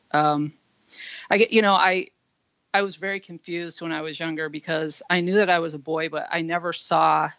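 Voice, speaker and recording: A man with a husky voice, a comedian speaking frankly as an interview guest, with many fillers. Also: fairly high pitch, fairly bright tone, no echo. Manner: earnest, frank, with frequent fillers. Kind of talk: candid interview talk. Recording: dull lo-fi dry line.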